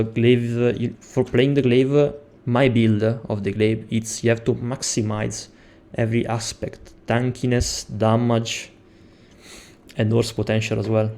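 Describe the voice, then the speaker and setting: A young man from Italy with an Italian accent, explaining a game build step by step as a tutorial maker, pausing often with fillers. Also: mid-range voice, dark tone. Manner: explains step by step with frequent hesitations. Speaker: game guide creator. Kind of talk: gameplay tutorial.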